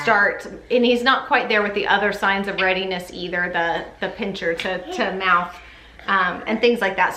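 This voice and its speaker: A woman's voice: mid-range voice, pushed and bright. A woman chatting casually to camera as a parenting vlogger, in an informal, chatty way full of "like". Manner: chatty, informal, often says "like". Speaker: parenting vlogger giving a baby update. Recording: echoey room with faint background noise.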